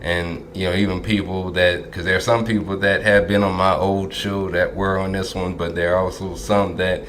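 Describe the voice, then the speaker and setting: A man hosting a podcast interview, talking easygoing with lots of filler pauses. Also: sits low, flat delivery, a bit bright, a little hiss or hum. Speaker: podcast host. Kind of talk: casual interview chat. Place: Dallas.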